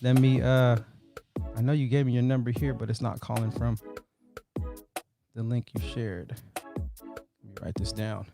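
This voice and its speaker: A man's voice: medium pitch, level tone, moderate pace, gravelly, pauses often, loud music behind the voice. A man hosting a motivational livestream, chatting informally and in a brotherly way as he addresses his viewers. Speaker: livestream host. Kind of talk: casual motivational livestream chat.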